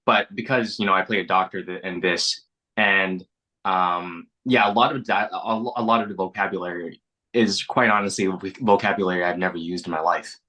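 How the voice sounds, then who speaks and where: Young adult man, first language Mandarin, very low bright voice; earnest interviewee, reflective and halting. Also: fairly even intonation. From Canada. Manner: reflective, earnest, frequent restarts and repeated words. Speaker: actor being interviewed. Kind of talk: casual interview chat.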